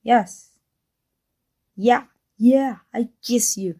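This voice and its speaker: Breathy, husky villainess with a Mexican accent, acted by a woman. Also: on the high side, very slow, mellow, exaggerated voice. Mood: defensive, desperate. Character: proud, flustered, secretly devoted villainess with life-transfer power. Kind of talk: intimate ASMR roleplay acting.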